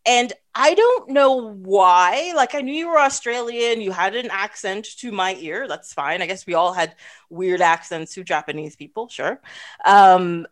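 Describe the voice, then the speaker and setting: A woman's voice: mid-range voice, lively intonation, measured pace. A woman in her thirties from Canada, hosting a podcast and chatting casually, laughing often and using lots of fillers. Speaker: podcast host. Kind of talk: casual chat.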